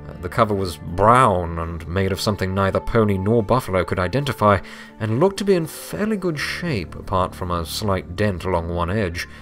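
Male narrator for scripted fiction, low pitch, soft music underneath. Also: expressive, moderate pace, few pauses.

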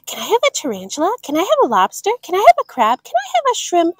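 A woman's voice: very high voice, wildly swooping pitch, fast talker, no echo, clean studio sound, voice pushed up, full character voice. A woman voicing an eager little girl who pleads insistently, in a storybook read-aloud. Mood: eager pleading.

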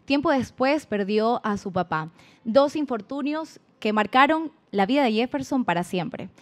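A woman in her thirties hosting a regional TV program in a bright, welcoming announcer delivery from a restaurant set. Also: a little high, animated, measured pace. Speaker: TV program host. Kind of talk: scripted TV presenting. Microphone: desk microphone on stand.

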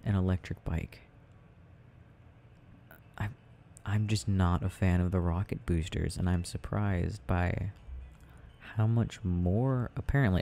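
A young adult man rambling softly and casually in a quiet, sleepy murmur as he plays a game, in an ASMR style. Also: low-pitched. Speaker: audio roleplay creator. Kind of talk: soft casual rambling while gaming, ASMR sleep aid. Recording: faint background noise.

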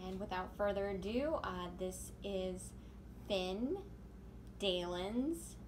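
A woman in her thirties informally hosting a children's storytime, pausing as she addresses young listeners. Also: medium pitch, lively intonation. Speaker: children's library storytime host. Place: small room indoors. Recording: noisy home recording.

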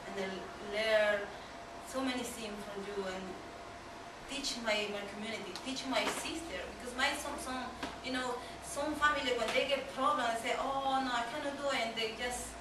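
Young woman, Peruvian Spanish accent, informal talk in hesitant English, picked up from afar in a noisy small meeting room. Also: on the high side. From Peru. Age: young adult. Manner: halting, searching for words in non-native English. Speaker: exchange trainee giving a talk. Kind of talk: informal presentation to colleagues. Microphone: far camera microphone. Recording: distant, very echoey room, clear background noise.

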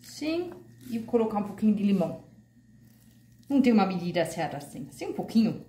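A woman's voice: medium pitch, very animated, a little fast, warm tone. Chatty woman in an echoey kitchen. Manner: chatty, homey, uses diminutives and fillers. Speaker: vegan cooking channel host. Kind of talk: casual step-by-step cooking tutorial. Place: home kitchen at a stovetop.

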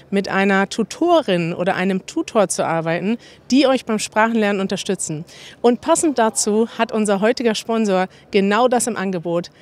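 A woman in her thirties presenting a sponsor message to camera in a fluent, explanatory way. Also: medium pitch. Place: outdoors in a garden courtyard. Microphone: handheld microphone.

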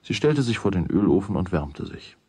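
An actor narrating a children's radio play as a wry storyteller with a husky voice. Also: male voice, low-pitched, sing-song, normal speed, mellow, no room sound, studio quality, heavily acted. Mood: wry, matter-of-fact.

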